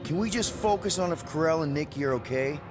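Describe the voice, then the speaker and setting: A man's voice: a little high, animated, fast talker, music in the background, lightly acted. A man playing an earnest, defensive, easygoing space adventurer and team leader in a video game. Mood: earnest, serious. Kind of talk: video game character acting.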